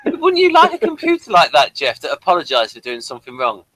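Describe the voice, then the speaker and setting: Bright, animated man hosting casual banter. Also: a little high, quick pace, dry recording, rough recording. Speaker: host of a review show. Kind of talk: casual panel chat, teasing banter.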